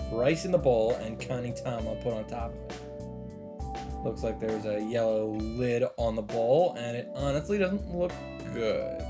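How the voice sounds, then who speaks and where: Male let's play host, mid-range, casual and mock-dramatic narration, clear game music underneath. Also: medium pitch. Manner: mock-dramatic, interjects swearing and side remarks. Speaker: let's play host reading a visual novel. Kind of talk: reading game narration aloud with casual commentary. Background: visual novel background music.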